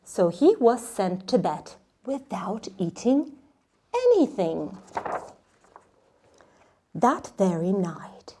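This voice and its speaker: Woman, children's read-along host, very slow pace, lively swooping intonation, dramatic storytelling, occasional hushed delivery. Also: mid-range voice, noisy background. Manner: expressive, dramatic, drops into hushed whispers.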